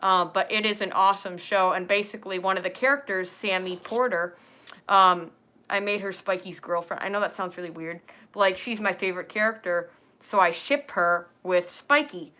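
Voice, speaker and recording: A woman's voice: mid-range voice, fairly even intonation, normal speed, sharp tone. A young woman chatting casually on a livestream, rambling with lots of fillers and talking straight to her viewers. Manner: rambling, filler-heavy, talks to chat. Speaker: furry livestreamer.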